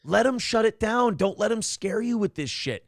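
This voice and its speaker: A brash, profane male talk show host with a gravelly, slightly high voice. He riffs loosely on politics and reads out viewer messages. The sound is dry and close. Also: lively intonation, normal speed, dry recording.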